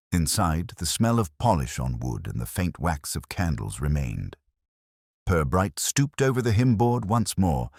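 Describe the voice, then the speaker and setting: A man reading a scripted mystery story as narrator, in a measured, formal, literary way. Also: low-pitched, slightly rough, no echo, studio quality.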